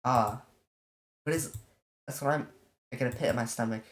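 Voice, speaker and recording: A let's player casually commenting on a visual novel and reading its text aloud, in an informal, halting way. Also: mid-range voice. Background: game music.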